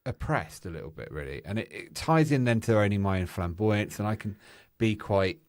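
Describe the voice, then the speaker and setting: A loud, exuberant and flamboyant man, the podcast's co-host, talking candidly in casual chat on a studio mic. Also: on the low side, lively intonation, brisk, no room sound.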